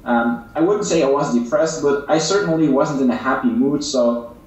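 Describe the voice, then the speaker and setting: A man's voice: mid-range voice, moderate pace, dull audio. A young man from West Flanders with a Flemish accent, a Dutch native speaker, answering interview questions politely with hesitant fillers. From West Flanders, Belgium. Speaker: interviewed YouTuber. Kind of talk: casual interview over a call. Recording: distant echoey call mic.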